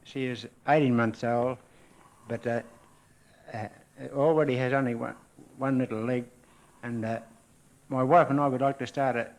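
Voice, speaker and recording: A man's voice: mid-range voice, steady tone, normal speed, poor audio. A middle-aged Australian man answering questions as a quiz contestant, plain, polite and hesitant, on a worn old radio recording.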